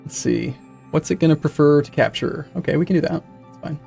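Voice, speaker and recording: Streamer type: young man, slightly high voice, loose rambling chat, faint music underneath. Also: fairly quick, gravelly, mellow. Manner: rambling, self-correcting, reads and answers chat. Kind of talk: casual live-stream chatter while setting up a game.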